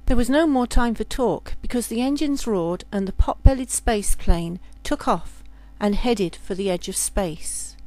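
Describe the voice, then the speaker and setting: A woman's voice: fairly high pitch, expressive, unhurried, hardly any pauses, dark tone. A woman storyteller reading a scripted science fiction tale as a narrator.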